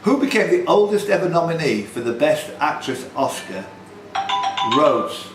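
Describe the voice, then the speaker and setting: A man's voice: fairly high pitch, sing-song, unhurried, over loud music. A man hosting a pub quiz, reading out questions and scores informally, with chatty asides. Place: indoor pub. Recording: roomy pub recording with background noise.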